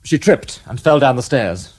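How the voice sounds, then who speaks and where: A man's voice: medium pitch, expressive, normal speed, breathy edge. An actor playing a sardonic British police inspector in a radio crime drama, dry and mock-polite in his questioning. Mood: harried. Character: sardonic male detective inspector, dogged and wry.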